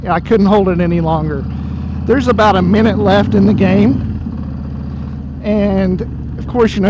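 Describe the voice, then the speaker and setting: A man telling an embarrassing story in a casual, rambling way while riding, his voice going hoarse. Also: high-pitched. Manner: rambling, self-deprecating, easily startled by dogs. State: losing his voice. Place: outdoors on a motorcycle on the road. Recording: road and engine noise.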